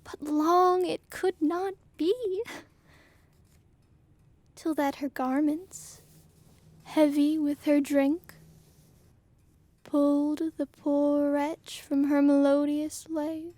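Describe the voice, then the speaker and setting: A female actor playing a sorrowful, gentle queen in a Shakespearean audio drama, speaking solemnly and lyrically in halting phrases. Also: sits high, little pitch movement, no room sound. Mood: mournful. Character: sorrowful, gentle queen bearing tragic news.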